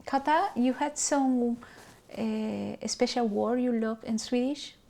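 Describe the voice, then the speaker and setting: Somewhat high-voiced woman interviewer. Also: steady tone, takes it slowly, gravelly, mellow. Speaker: podcast host. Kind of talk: casual interview chat.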